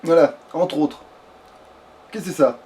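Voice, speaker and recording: High-voiced man muttering through gameplay. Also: measured pace, mellow. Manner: hesitant, muttering to self, swears casually. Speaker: let's play gamer. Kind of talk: casual gameplay commentary.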